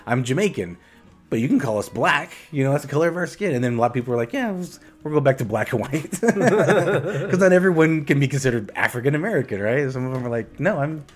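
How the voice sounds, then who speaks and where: A man co-hosting a casual podcast, thinking aloud with many restarts and a reflective manner. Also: mid-range voice. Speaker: podcast co-host.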